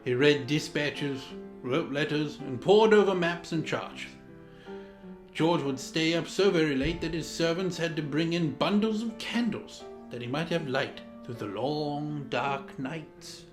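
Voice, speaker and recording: A pompous Georgian lord played by a man narrates a storybook in a fairly high voice. His diction is deliberate and theatrical. The room is echoey, with music softly underneath. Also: lively intonation. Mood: stately. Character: pompous Georgian English lord reading a mad royal tale. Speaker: storyteller, host in the guise of a lord. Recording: roomy echo, music underneath.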